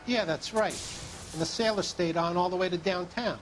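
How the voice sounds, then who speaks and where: An actor playing a plain, folksy, cooperative bus driver in a 1940s crime drama game. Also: male voice, high voice, normal speed, slight background noise, close to an everyday voice, poor audio. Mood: obliging.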